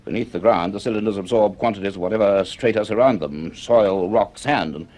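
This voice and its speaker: Actor as grave, low-voiced British scientist. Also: male voice, a little mellow, natural voice. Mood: grave explaining. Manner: measured, precise, formal. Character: earnest, grave male university scientist. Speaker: actor, lead and narrator. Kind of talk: British radio serial drama acting.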